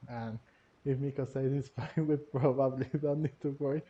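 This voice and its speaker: A man giving casual game playthrough commentary in a halting, repetitive, giggly way, on a lo-fi mic. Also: mid-range voice, fairly even intonation, mellow. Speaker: gaming video host. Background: faint game music.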